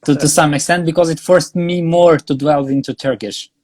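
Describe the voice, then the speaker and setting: A young man with an Albanian accent chats as an interview guest in English, his voice sitting a little high. He speaks haltingly, with many "uh"s, "you know"s and restarts. The sound is dry and close. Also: steady tone, darkish tone, dry recording. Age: young adult. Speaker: guest, graduate student. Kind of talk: casual interview.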